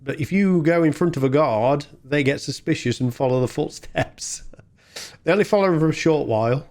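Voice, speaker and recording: A man's voice: fairly high pitch, normal speed, darkish tone. A man giving casual, colloquial, self-deprecating commentary while playing a video game. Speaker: gaming video host. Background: video game music.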